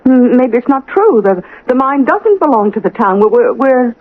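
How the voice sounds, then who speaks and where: Fretful, animated small-town wife. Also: female voice, on the high side, moderate pace. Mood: anxious, puzzled. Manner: fretful, hesitant, questioning. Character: anxious, proper small-town wife of the mayor. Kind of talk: radio drama acting. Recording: narrow, lo-fi old radio broadcast.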